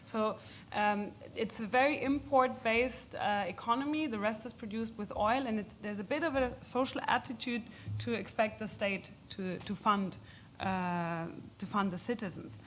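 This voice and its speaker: A woman giving halting, explanatory answers as an expert speaker in an unscripted question session at a policy event. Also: fairly high pitch, slight background noise.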